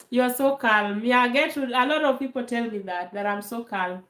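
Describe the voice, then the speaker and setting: Woman from Kenya, live Q&A host, calm and unhurried, post-cold voice, phone audio in an echoey room. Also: on the high side. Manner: calm, slow, laughs often, many fillers. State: recovering from a bad cold. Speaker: livestream host. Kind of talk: casual live Q&A chat. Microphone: phone held to camera.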